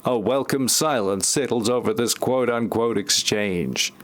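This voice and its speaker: A man giving deadpan scripted narration for a parody audio drama. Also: medium pitch, unhurried. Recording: prominent music under the voice. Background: music.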